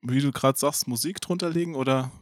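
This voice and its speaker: A man's voice: mid-range voice, fairly quick. A middle-aged man with a mid-range voice hosts the talk. He asks his questions in an informal, chatty style. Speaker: interviewer, host. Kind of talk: casual interview.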